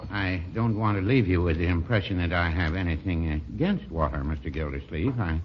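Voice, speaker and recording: An actor playing a mild, literal-minded small-town druggist with a dry, deliberate manner in 1940s radio comedy. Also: male voice, low-pitched, expressive. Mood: mildly protesting. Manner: dry, deliberate, mildly contradicting. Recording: muffled, hissy 1940s radio broadcast recording.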